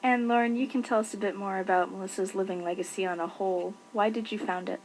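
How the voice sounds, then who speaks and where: A woman's voice: medium pitch, fairly even intonation, fast, slight background noise, low-quality recording. A woman interviewer introducing guests and asking polite, direct questions.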